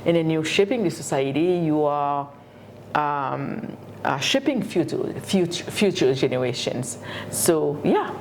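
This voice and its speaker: Middle-aged woman from Haiti, low voice, halting, lots of fillers, faint background noise. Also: expressive, a little mellow. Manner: halting, many fillers and repeated words. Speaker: education professor and community leader. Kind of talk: interview answers.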